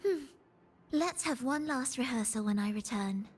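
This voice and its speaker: A woman voicing a gentle, earnest young violinist in a video game, soft-spoken and measured. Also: fairly high pitch, expressive, a little hiss or hum, natural voice. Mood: thoughtful.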